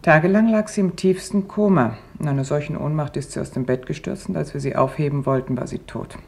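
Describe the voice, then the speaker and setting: Creaky, grave camp witness. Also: male voice, a little high, a little mellow. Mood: sombre, subdued. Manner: sober, halting testimony. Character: grave, subdued witness recalling deaths in a camp. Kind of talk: German radio drama monologue.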